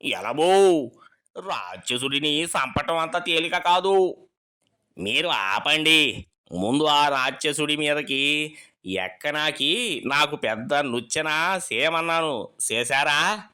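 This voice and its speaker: A woman doing a gruff rustic dwarf king. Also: low voice, lively intonation, pushed and bright, pitched below the performer's own voice, exaggerated voice. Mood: commanding. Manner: rustic village dialect, drawn-out chanted refrain. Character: old male dwarf king, rustic and bossy.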